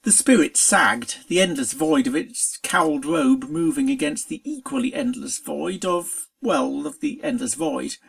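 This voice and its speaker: A narrator reading a scripted story aloud in an expressive, dramatic storytelling manner. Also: medium pitch, unhurried, creaky, hardly any pauses. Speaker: fan fiction reader.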